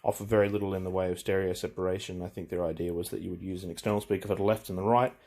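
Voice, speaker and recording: Low-pitched man, halting and casual. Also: level tone, dark tone. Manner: hesitant, halting, thinking aloud while handling the machine. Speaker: hobbyist presenter demonstrating vintage audio gear. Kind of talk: casual unscripted equipment walkthrough.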